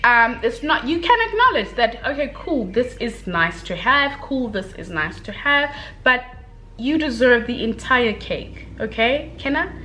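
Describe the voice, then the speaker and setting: Expressive, bright-voiced woman chatting about books. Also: fairly high pitch, measured pace, a little hiss or hum, rough recording. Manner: expressive, slangy, girlfriend-to-girlfriend address with emphatic check-ins. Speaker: book reviewer vlogger. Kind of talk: casual chatty book review to camera.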